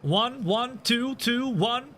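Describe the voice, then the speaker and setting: Male sim racing streamer, bright, forceful voice, high-energy hype and chatty tangents; live stream feel. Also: slow, a little hiss or hum. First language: Dutch. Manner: energetic, hype shout-outs, rambling asides. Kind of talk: live stream chat while gaming.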